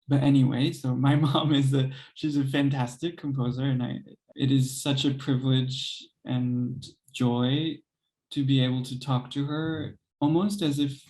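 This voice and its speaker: A young man and composer, speaking thoughtfully in an interview, hesitant with many pauses and soft laughs. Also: medium pitch, low-quality recording. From United States. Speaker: composer and pianist guest. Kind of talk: reflective interview conversation.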